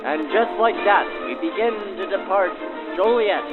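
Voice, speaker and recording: A man's voice: high-pitched, normal speed. A man working as host and interviewer, presenting in a 1940s radio manner through an old-time radio filter. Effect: aged 1940s radio filter. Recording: narrow, lo-fi vintage radio sound with faint music.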